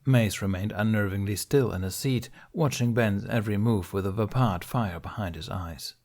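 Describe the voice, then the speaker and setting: Lowish-voiced man narrating fiction. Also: moderate pace, a little mellow. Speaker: audiobook narrator. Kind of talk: scripted dramatic fiction narration.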